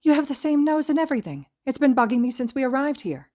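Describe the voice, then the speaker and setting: A woman voicing an excitable, outspoken young woman in a fan audiobook. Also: sits high, quick pace, voice pushed up. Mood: amazed.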